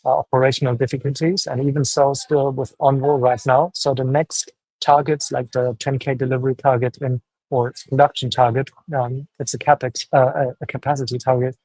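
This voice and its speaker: A man giving live commentary on a company's earnings call from an airport, talking in a measured, hesitant way with many fillers. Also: mid-range voice, level tone, a little mellow, no echo, poor audio.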